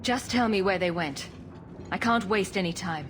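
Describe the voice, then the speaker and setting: An actress playing a composed, direct heroic adventurer in a video game, questioning plainly. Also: female voice, lowish voice, moderate pace, background noise, lightly acted. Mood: firm, inquiring. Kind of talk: dramatic video game dialogue.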